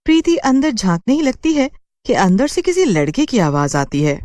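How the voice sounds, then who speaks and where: A woman's voice: sits high, big pitch swings, moderate pace, a little mellow, no echo, clean studio sound. A woman telling a scripted cartoon story as its narrator.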